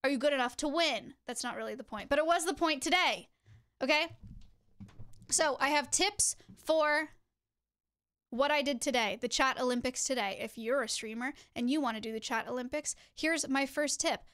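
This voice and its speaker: A woman's voice: high voice, moderate pace, fairly bright tone. A young woman streamer chatting to her viewers, giving tips in a teacherly, chatty way. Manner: chatty, teacherly, addresses chat directly. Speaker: streamer host.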